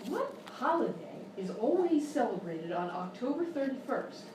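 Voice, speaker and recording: A woman's voice: fairly high pitch, sing-song, normal speed. A woman playing an exasperated, condescending quiz show host in a live radio-style comedy sketch. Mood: prodding. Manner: incredulous, scolding, mock-polite. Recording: very echoey live room, far mic, noisy.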